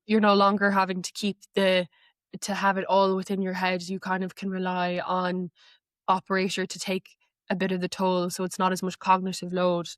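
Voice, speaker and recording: A woman explains a piece of software in a conversational walkthrough. The sound is dry, with very little room around her voice. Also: lowish voice, completely flat delivery, normal speed, dry recording. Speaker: guest, AI knowledge manager.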